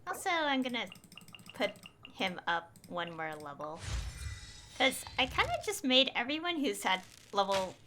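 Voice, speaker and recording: A woman's voice: a little high, very animated, unhurried, noisy background. A woman chatting casually on a gameplay livestream, rambling and hesitant as she thinks aloud. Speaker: game streamer.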